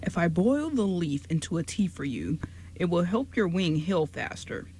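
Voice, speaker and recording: A woman playing a gentle, caring old male giant in an amateur radio drama. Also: fairly low pitch, sing-song, moderate pace, a little hiss or hum. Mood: caring, measured.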